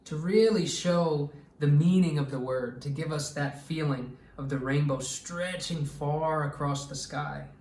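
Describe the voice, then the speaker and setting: A man reading aloud to children as a teacher, with an expressive, deliberate delivery that draws out words. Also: a little high. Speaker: storytime reader and teacher. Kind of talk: read-aloud storytime for children. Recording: echoey room, distant mic.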